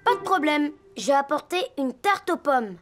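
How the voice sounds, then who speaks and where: A good-natured little boy from a children's cartoon. The voice is high, childlike and agreeable. Music runs clearly underneath. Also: lively intonation, moderate pace, fairly bright tone. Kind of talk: French animated children's cartoon dialogue.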